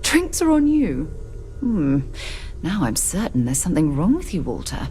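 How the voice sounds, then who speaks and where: A woman's voice: lowish voice, wildly swooping pitch, normal speed, warm tone. An actress playing a wry woman in a space adventure video game, with a dry, teasing manner. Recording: music behind the voice.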